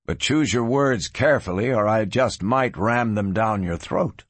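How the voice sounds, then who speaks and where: A man voicing a stubborn, quietly menacing gunslinger in a Western storybook, with a measured manner. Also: on the low side, no echo. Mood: defiant. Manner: flat, measured, soft-spoken warnings. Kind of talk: dramatised audiobook reading.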